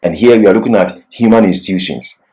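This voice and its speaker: A man's voice: fairly low pitch, quick pace, warm tone. A man giving an expository Bible lecture as a teacher, didactic and leaning on key words.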